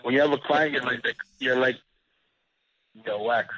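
A man's voice: mid-range voice, measured pace, bright, forceful tone, no room sound. A man from Oklahoma calling in to a podcast, boastful and repetitive, talking over the hosts on a phone line. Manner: boastful, repeats himself, talks over the hosts. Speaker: caller.

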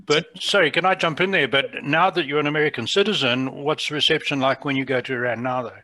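A man's voice: a little high, fast. A man with a Southern African English accent, co-hosting an interview podcast with casual chat and encouraging questions. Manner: warm, encouraging questions with frequent short acknowledgements. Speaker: podcast co-host.

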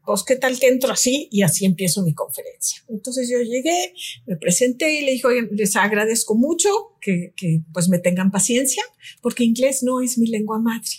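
A woman, speaking as a guest in a relaxed conversation and telling personal anecdotes. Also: a little high, moderate pace, husky. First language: Spanish. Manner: reflective, tells anecdotes. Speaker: guest expert. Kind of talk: casual conversation.

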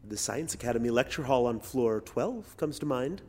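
A man's voice: medium pitch, expressive, normal speed, a little mellow, long reverb tail. A man playing a deferential assistant to corporate directors, formal and precise, in a dystopian audio drama.

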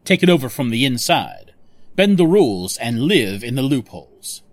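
An actor playing a cocky, ambitious corporate villain in an audio drama, speechifying smugly and persuasively. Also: male voice, medium pitch, big pitch swings, moderate pace, no echo. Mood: smug, defiant. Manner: speechifying, smug.

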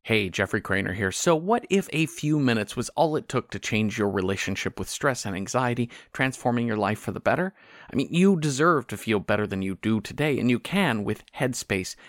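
A man delivers a host-read advert in a soft, smooth voice of middling pitch. His delivery is calm and soothing, with a personal tone. The recording is dry and free of room sound. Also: dry recording. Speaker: podcast host reading an ad.

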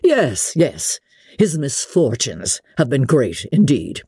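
Storybook heroine, acted by a woman: spirited young gentlewoman, indignant and accusing, lifted swooping pitch, clean dry sound. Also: sing-song, slow pace, husky, no room sound, full character voice. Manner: emphatic, formal, cutting.

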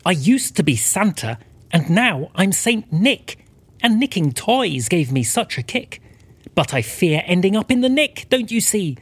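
A desperate ex-Santa turned crook acted out by a man pleads in rhyme. His delivery is theatrical and lively, pitched above everyday talk, in a comic audio drama. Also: measured pace, husky, no echo, exaggerated voice. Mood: pleading, rueful.

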